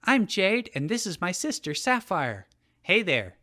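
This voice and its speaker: A man's voice: high voice, big pitch swings, unhurried, bright, forceful tone, pitched above the performer's own voice, heavily acted. A man voicing a pert, scornful young girl in a comedic fan fiction reading. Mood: perky. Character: two snippy young sisters, scornful granddaughters.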